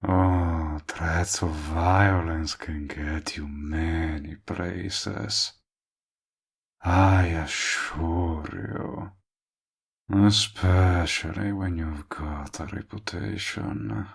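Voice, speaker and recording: A man's voice: low-pitched, heavily acted. A man playing a smug, possessive, lovestruck villain in a romantic comedy audio roleplay, talking to the listener in a sardonic, persuasive way. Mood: smug, teasing. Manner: smooth-talking, sardonic, drawn-out emphatic phrasing. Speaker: voice actor.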